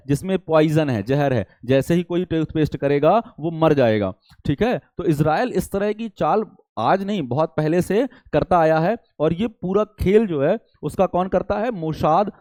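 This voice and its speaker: A man's voice: fairly high pitch, darkish tone, dry recording. A man teaching a current affairs lesson in a didactic way, often checking in and posing rhetorical questions. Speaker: teacher for competitive exam students.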